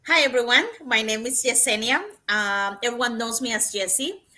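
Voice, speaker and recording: A woman from Cuba joins a livestream panel, running through names and details in a plain, listing way. Her voice is bright and forceful, pitched a little high. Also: moderate pace. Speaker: panelist, nurse.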